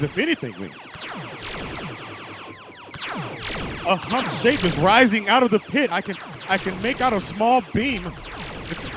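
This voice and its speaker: An actor playing a frantic radio field reporter in a drama, stammering through a breathless eyewitness account of an alien attack. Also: male voice, high-pitched. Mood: uneasy, faltering. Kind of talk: radio drama acting, live news report.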